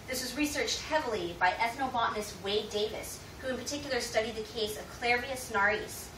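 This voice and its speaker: An American woman delivers a prepared informative speech in a formal presenting manner. Her voice has an ordinary middle pitch. She is recorded from a distance in an echoey room, with clear noise over the recording. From United States. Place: indoor room, speaking to an audience.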